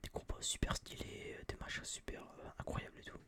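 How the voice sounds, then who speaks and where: A young man whispering a casual, opinionated ASMR review in a breathy voice, rambling and slangy, close to a binaural microphone. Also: a little fast. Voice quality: breathy, mostly unvoiced whisper. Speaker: host of an ASMR film review video.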